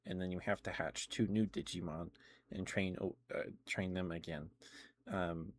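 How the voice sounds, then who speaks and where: A man's voice: low-pitched, fairly even intonation, normal speed, dry recording. A man hosting a livestream, chatting casually in an ASMR setting about video games in a meandering, self-correcting way. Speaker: livestream host.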